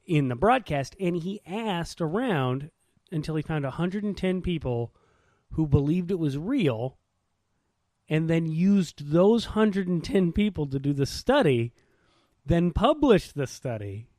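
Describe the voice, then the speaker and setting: A man's voice: on the high side, lively intonation, unhurried, a little mellow, no echo. A man chatting casually as a podcast co-host, telling stories in an informal, slangy way. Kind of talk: casual chat.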